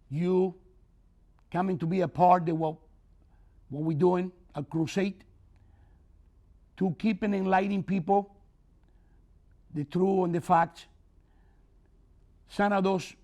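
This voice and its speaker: An older man giving a closing speech to an audience as an author. He speaks in an earnest, preaching way, with simple phrasing and big swings between loud and soft. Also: sits high.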